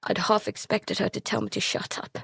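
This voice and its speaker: A woman playing a frightened, guilt-ridden youngster who narrates and speaks in an audio drama, halting and stammering. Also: medium pitch, sing-song, creaky, a bit bright, slightly rough, dry recording, full character voice. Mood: anxious, guilty. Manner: halting, stammering, breaking into whispers. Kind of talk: first-person audio drama narration with dialogue.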